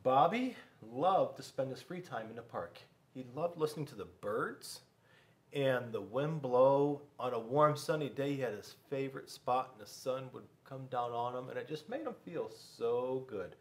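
A middle-aged man reading a children's story aloud in a classroom, expressively, with dramatic pauses and questions to young listeners. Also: medium pitch, lively intonation. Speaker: school resource officer reading to children. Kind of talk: animated storybook read-aloud. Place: small school classroom, indoors.

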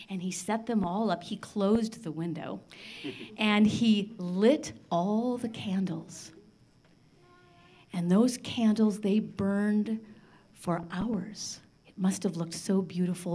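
An older woman telling a children's story live in an expressive, theatrical manner with dramatic pauses. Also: medium pitch. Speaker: storyteller on a children's radio show. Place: large library room, live before a seated audience. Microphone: handheld microphone.

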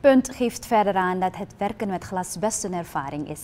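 A young woman presenting the news from a television studio in a measured, even newsreader delivery. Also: on the low side. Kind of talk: scripted news narration.

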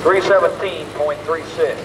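A man's voice: on the high side, steady tone, a little slow. A man announcing a truck pull at an outdoor fairground, reading out each distance twice in short, clipped phrases over a PA. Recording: distant PA over loud engine noise. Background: roaring truck engines.

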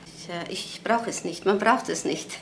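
An actress playing a brittle, evasive and stubborn wife under suspicion in a crime drama, hesitant and repeating herself. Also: female voice, medium pitch, normal speed, vocal fry, slight background noise, natural voice. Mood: evasive, nervous. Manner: hesitant, repetitive, insistent. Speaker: actress as murder suspect.

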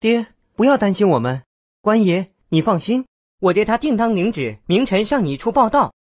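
A woman voicing a cheeky, quick-witted young soldier girl disguised as a man, in a cartoonish game. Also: medium pitch, big pitch swings, no room sound, studio quality. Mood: reassuring. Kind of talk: cartoonish video game acting.

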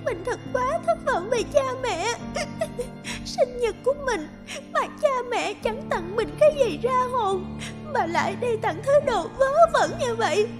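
A woman's voice: very high voice, lively intonation, a little slow, over loud music. A woman playing a vain, spoiled teenage girl in a cartoon fairy tale, whiny and demanding. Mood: tearful, sulky.

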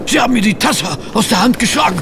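An actor playing an excitable, polite gentleman sidekick in a German radio play, often puzzled and exclamatory. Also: very high-pitched, fast talker, gravelly, noisy background, full character voice. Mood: alarmed, flustered. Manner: flustered, hesitant, exclamatory.

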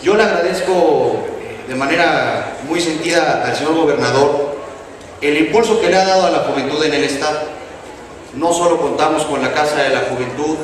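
A young man from Mexico giving an earnest, formal speech at a podium. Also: a little high. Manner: earnest, thanking officials, measured oratory. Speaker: youth office official giving a speech. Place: large indoor exhibition tent with audience. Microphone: podium microphone, recorded from far in the crowd. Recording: echoey hall from far off, noisy.

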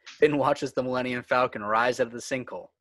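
A man's voice: mid-range voice, steady tone, brisk, fairly bright tone, no echo. A man reading screenplay stage directions as dramatic scripted narration.

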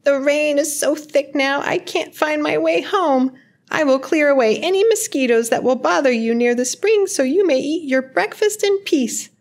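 A little brown bat from a children's storybook, high and squeaky. It speaks with small-creature courtesy. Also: female voice, normal speed. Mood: polite, pleading.

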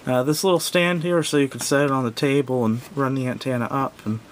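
Male reviewer, medium pitch, casual and unscripted, halting and self-correcting, home recording with slight rumbling noise. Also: fairly even intonation. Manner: hesitant, rambling, self-correcting. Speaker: product reviewer. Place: indoors at a wooden table.

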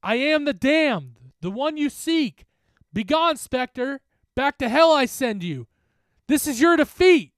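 Guy acting as a teen boy yelling a spell slowly and hard, high pitched, desperate and defiant, horror story. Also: sing-song, no echo, heavily acted. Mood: desperate, intense. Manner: slow, emphatic shouted incantation.

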